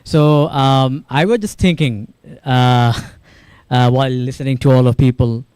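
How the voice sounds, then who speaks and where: Young man, conference speech, halting fillers, smooth clear tone, dry close sound. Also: mid-range voice, steady tone, darkish tone, no room sound. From Bangladesh. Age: young adult. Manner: informal and searching, with fillers and repeated words. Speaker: panel speaker.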